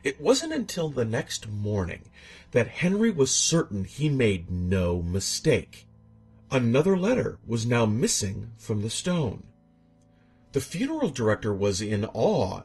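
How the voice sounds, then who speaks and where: A man's voice: mid-range voice, darkish tone, breathy edge. A man narrating a scripted horror story in a theatrical, suspenseful way.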